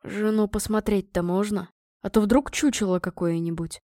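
A scruffy, uncouth beggar man, put on by a woman narrator, speaks in a hoarse bleat. He is cheeky and haggling, as in a storybook, recorded dry. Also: medium pitch, fairly even intonation, moderate pace, dark tone, no room sound, close to an everyday voice. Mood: cheeky, critical.

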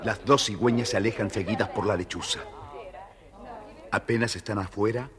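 A man narrating a scripted children's story in a measured, expressive way. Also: on the low side, crowd noise. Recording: reverberant studio story recording. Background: music.

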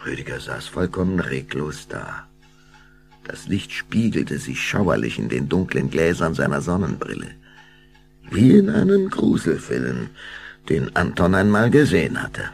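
A male narrator tells a spooky children's story in a deep voice. His intonation swings widely to build suspense, with some gravel in the tone. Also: huge pitch swings, a little slow, full character voice. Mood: suspenseful.

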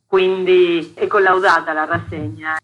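A woman's voice: fairly low pitch, level tone, measured pace, lo-fi sound. A woman talking effusively and emphatically as a guest in a radio interview, presenting events with enthusiasm. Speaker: interview guest, cultural centre director presenting a theatre festival.

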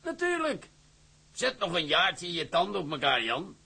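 Radio drama: encouraging, no-nonsense schoolmaster, gravelly, slightly high male voice. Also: huge pitch swings, sharp tone, full character voice. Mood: brisk, encouraging. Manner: brisk, paternal authority. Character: encouraging but brisk senior schoolmaster. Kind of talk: Dutch radio drama acting.

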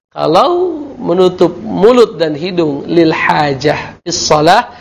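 A man teaching Islamic law on a call-in television program, explaining calmly with Arabic terms and frequent fillers. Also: on the high side, gravelly. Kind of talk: televised religious lesson with call-in questions.

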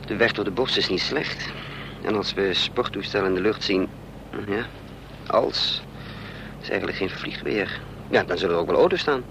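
A man's voice: fairly low pitch, moderate pace, vocal fry, pauses often. An actor playing an anxious, scheming adventurer in a radio play, muttering and hesitating as he thinks aloud. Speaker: protagonist and narrator of a radio play. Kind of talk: Dutch radio play acting. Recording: noisy old radio play recording, vehicle drone.

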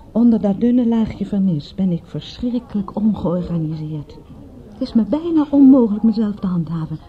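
Actress as a lively, confiding suburban woman. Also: mid-range voice, normal speed, lo-fi sound, lightly acted. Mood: confiding, vulnerable. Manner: confiding, self-deprecating. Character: vulnerable, guarded suburban woman behind cool facade. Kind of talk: radio play dialogue, naturalistic.

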